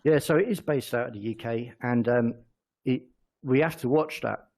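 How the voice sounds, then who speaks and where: Male interview guest, English accent, noticeable vocal fry, halting and informal. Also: mid-range voice, a little mellow. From England. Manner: halting, self-correcting. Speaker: interview guest, company chief executive. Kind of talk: casual interview answers.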